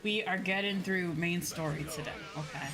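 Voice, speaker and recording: A woman's voice: on the low side, normal speed. A woman chatting casually and with some self-deprecation as she livestreams a video game. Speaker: streamer, virtual YouTuber. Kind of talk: casual livestream gaming chat. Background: game music behind the voice.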